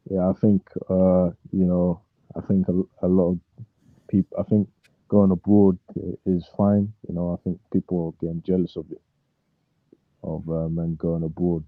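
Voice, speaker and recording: A man calling in to a show, speaking casually in a hesitant, halting way over a muffled connection. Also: sits low, little pitch movement, dry recording. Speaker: caller. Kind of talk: casual call-in chat.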